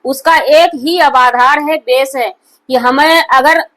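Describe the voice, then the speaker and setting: A woman's voice: high-pitched, slow pace, a bit bright. A woman gives opinionated news commentary in an emphatic, repetitive and accusatory manner. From India. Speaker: online commentary host.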